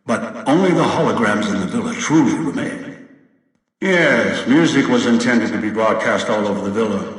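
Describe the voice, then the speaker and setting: An actor playing a cold, controlling old villain in a video game, speaking in a deliberate, commanding and menacing way. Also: male voice, lowish voice, fairly bright tone. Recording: very echoey, distant, long reverb.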